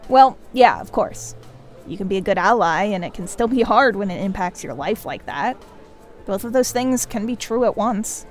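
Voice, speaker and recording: A woman's voice: medium pitch, lively intonation, normal speed, soft background music, lightly acted. An actress playing a reserved, supportive divorced woman on a first date in a naturalistic audio drama. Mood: gently supportive.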